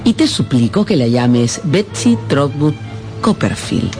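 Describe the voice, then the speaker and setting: An actress playing an imperious, stubborn older widowed great-aunt in a radio drama, with a domineering, emphatic manner. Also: female voice, rumbling low, big pitch swings, measured pace, over music, full character voice.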